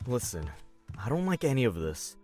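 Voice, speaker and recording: A man's voice: medium pitch, very animated, normal speed, mellow, soft background music, lightly acted. A man acting a cynical, protective and hot-tempered young older brother in an audio drama. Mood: stern, worried.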